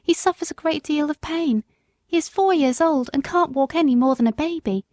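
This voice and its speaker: A woman voicing a poor young country mother in a storybook reading, speaking in a high, soft, pleading voice. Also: normal speed, dry recording, studio quality, lightly acted.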